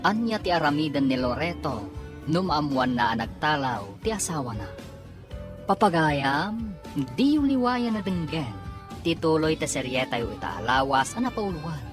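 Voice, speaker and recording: A woman's voice: low voice, very animated, normal speed. A woman with an Ilocano accent giving dramatic scripted narration as a radio drama announcer. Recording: music under voice. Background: dramatic music.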